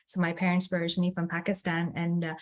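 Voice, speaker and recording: A woman from France, a panelist, joining a panel discussion eagerly and quick to agree. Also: lowish voice, monotone.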